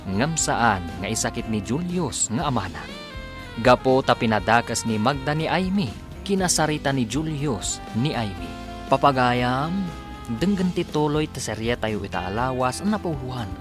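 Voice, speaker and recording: A man's voice: mid-range voice, animated, normal speed. A man with an Ilocano Filipino accent announcing a radio drama in dramatic scripted narration. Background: loud music.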